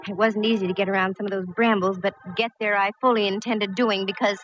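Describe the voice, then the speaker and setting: A woman's voice: mid-range voice, fairly even intonation, fast talker, fairly bright tone, soft background music, lightly acted. An actress playing a wry, plucky female private eye in a 1940s radio detective drama, with a wisecracking manner. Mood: wry narrating. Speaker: actress narrating and playing lead. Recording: muffled, lightly hissy old radio recording.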